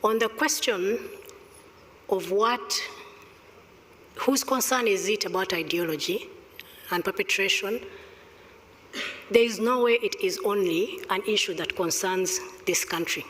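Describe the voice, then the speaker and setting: A deliberate, emphatic panel speaker from Rwanda who drives each point home by repeating it. The voice sits at a middle pitch. It rings with the long reverb of a conference hall. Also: fairly bright tone. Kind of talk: panel discussion answer.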